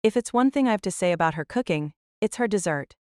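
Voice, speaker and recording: A woman's voice: medium pitch, animated, fast, a little mellow, clean tone, no echo, clean studio sound, natural voice. A woman playing an easygoing student in scripted anime audio drama dialogue. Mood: friendly, matter-of-fact.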